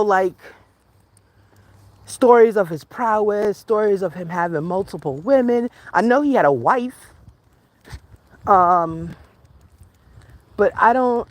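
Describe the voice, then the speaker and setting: A woman's voice: mid-range voice, no room sound. An American woman hosting a livestream, chatting casually and rambling to her viewers while out on a walk outdoors. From United States. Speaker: livestream host. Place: outdoors on a walk, rural or natural setting.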